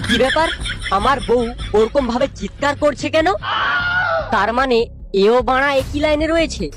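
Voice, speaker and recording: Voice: sits high, moderate pace, heavy background noise, natural voice. A performer voicing a furious, foul-mouthed cheated husband, a young village man in a comic cartoon dub, aggressive and accusing. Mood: angry, accusing.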